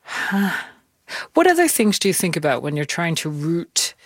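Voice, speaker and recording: An interviewer asking measured, curious questions. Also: mid-range voice, big pitch swings, no echo, studio quality. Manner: measured, reads quotes aloud, curious questions. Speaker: interviewer host. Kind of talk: radio interview.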